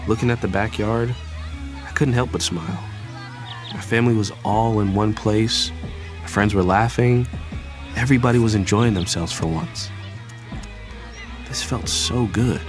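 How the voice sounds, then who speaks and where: An actor playing a cheeky young student son and brother in an audio drama, playful but sincere, with reflective first-person narration. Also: male voice, lowish voice, unhurried, long pauses, natural voice. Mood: reflective, warm. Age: young adult. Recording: music prominent behind voice.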